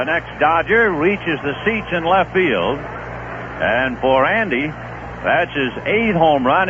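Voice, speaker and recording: A man doing live play-by-play baseball commentary on the radio, his voice climbing with the big plays. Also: fairly high pitch. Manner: lively, rising with big plays. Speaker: play-by-play announcer. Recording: tinny, noisy 1970s radio broadcast.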